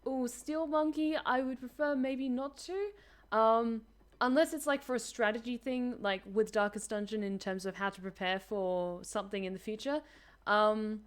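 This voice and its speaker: A woman's voice: a little high, moderate pace. A woman streaming a game, chatting casually with her viewers, self-deprecating and talkative. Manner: chatty, self-deprecating, reads chat aloud.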